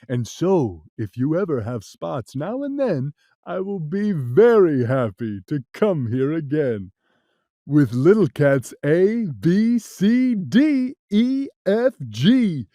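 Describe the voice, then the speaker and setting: A woman voicing a sly, boastful cat magician in a read-aloud storybook, deep and showy. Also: no echo, studio quality. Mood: gleeful. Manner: deep, loud, showy and sure of himself. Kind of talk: storybook reading.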